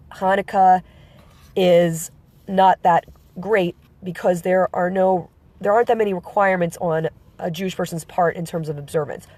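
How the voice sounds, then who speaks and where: A woman with a slight cold, explaining a holiday to viewers joining a live stream, pausing often with fillers and restarting her sentences. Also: fairly low pitch, darkish tone, no room sound. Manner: halting, with many fillers and self-corrections.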